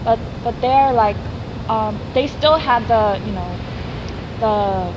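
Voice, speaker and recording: A woman's voice: fairly high pitch, muffled recording, noisy background. Korean-born young woman, modest chatty guest. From South Korea. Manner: modest, chatty, many "like" and "um" fillers, quick laughs. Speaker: interview guest, graduate student. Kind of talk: casual interview chat.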